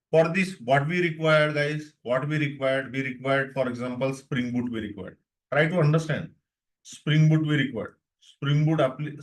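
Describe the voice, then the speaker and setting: A young man with an Indian English accent teaching a live online tech lesson, repeating himself and often checking that students follow. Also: mid-range voice. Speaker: DevOps instructor.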